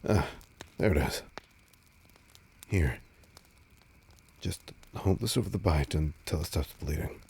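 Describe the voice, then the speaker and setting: A man playing a tender, teasing but insecure vampire lover in an intimate roleplay audio drama, murmuring breathily and haltingly, often slipping into whispers. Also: extremely low pitch, creaky, a little mellow, slight background noise, full character voice. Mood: tender, playful.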